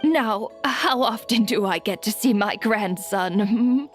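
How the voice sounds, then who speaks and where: A woman playing a kindly, forgetful old grandmother in a video game, hesitant and doting, with a wavering, aged voice. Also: a little high. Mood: fussing, cheerful. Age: older. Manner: slow, hesitant, fussing and doting. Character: forgetful, kindly old granny with failing memory. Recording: background music under the dialogue.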